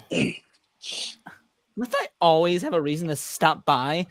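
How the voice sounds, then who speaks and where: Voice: mid-range voice, sing-song, slow pace, dry recording. Theatrical godlike alien trickster, voiced by an amateur reader, grandiose and wheedling, swooping intonation, close dry sound. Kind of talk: live script table read.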